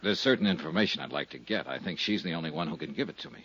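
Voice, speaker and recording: An actor playing a persistent, world-weary insurance investigator in an old radio mystery, with a clipped, matter-of-fact way of talking. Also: male voice, very deep voice, animated, racing, fairly bright tone, slight background noise, heavily acted. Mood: businesslike. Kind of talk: old-time radio mystery acting with first-person narration. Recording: muffled old radio transcription, background music.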